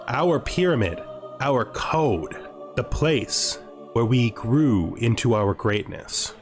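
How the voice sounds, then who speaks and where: A man playing a proud, zealous vampire commander in a measured, grandiose game roleplay monologue. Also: medium pitch. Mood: grave reverence. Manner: solemn, grandiose, measured oratory. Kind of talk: dramatic in-character roleplay monologue. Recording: music behind the voice.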